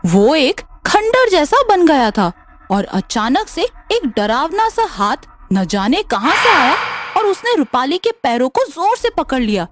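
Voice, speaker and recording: High-pitched, expressive woman narrator. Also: unhurried, heavy background noise. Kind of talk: scripted story narration.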